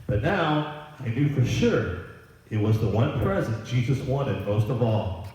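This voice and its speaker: A man reading a Christmas story aloud as Santa Claus, in a dramatic, deliberate storybook manner. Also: mid-range voice, lively intonation, darkish tone. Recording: echoey room, distant microphone.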